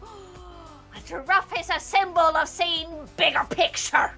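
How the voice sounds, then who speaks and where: A woman's voice: very high-pitched, steady tone, slow, vocal fry, bright, forceful tone, faint music behind, exaggerated voice. A woman voicing a theatrical, mystical psychic, grandly soothing and portentous, in a comedic radio play. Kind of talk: improvised comedic radio-drama table read.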